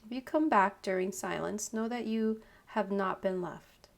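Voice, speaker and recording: A woman leading a guided meditation as a yoga nidra teacher, giving calm, unhurried instructions in short phrases with pauses. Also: medium pitch, creaky.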